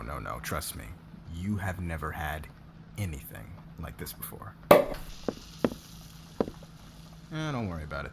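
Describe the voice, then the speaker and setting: A man acts out a demanding fashion boss in an ASMR audio drama. His voice sits low, his manner is commanding and persuasive, and clear ambient noise runs behind him. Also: exaggerated voice. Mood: celebratory.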